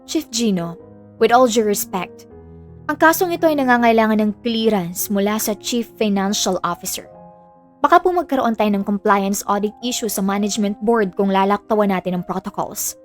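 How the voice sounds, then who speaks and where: A woman's voice: mid-range voice, normal speed, faint music behind, natural voice. A woman playing a formal, officious hospital doctor in an audio drama, businesslike and jargon-heavy, with a veiled threat under politeness. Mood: restrained annoyance. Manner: formal, businesslike, mixing English jargon into speech.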